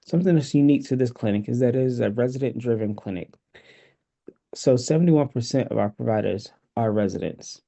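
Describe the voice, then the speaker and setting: Man, systems engineer, scripted presentation, very dark voice, dull lo-fi audio. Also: medium pitch, a little fast, creaky. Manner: steady, scripted delivery. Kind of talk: read presentation.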